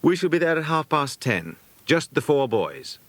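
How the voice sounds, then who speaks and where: A man's voice: on the high side, big pitch swings, normal speed, fairly bright tone, dry recording, pitched above the performer's own voice. A man voicing an eager, polite, decisive British schoolboy detective with old-fashioned manners in a children's audiobook. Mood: reluctant.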